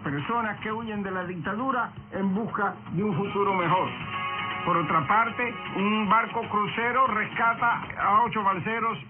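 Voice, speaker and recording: A man with a high voice presents a radio news bulletin. He speaks as a program host reading prepared copy to his listeners. The sound is thin and narrow shortwave, with clear music playing underneath. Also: unhurried. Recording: tinny shortwave radio broadcast with music behind.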